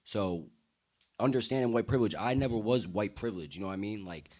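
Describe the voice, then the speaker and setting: Deep-voiced, earnest young American man. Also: fast talker, a little mellow. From United States. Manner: streetwise slang, earnest and emphatic. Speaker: podcast guest. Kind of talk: heartfelt casual conversation.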